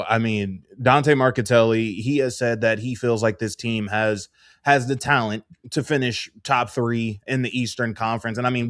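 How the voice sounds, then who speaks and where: Male sports show host, average pitch, very dry recording, conversational and wordy interviewer. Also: no room sound.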